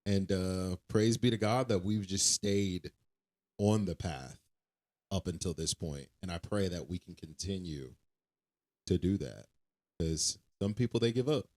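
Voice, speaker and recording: Low-voiced earnest man preaching casually. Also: a little slow, darkish tone. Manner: earnest, preachy, self-correcting with restarts. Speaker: podcast co-host. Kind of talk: casual faith chat.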